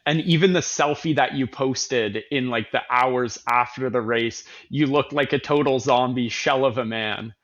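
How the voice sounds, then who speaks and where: A man hosting an interview, asking relaxed questions with plenty of fillers. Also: mid-range voice, moderate pace, few pauses, dull audio. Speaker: host. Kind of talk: casual interview.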